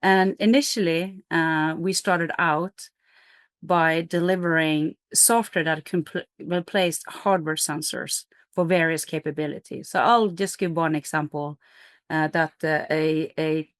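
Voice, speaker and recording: Low-voiced woman CEO explaining eagerly. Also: unhurried, no room sound, clean studio sound. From Norway. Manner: energetic, explanatory, with fillers and restarts. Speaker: company CEO. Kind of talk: business interview answers.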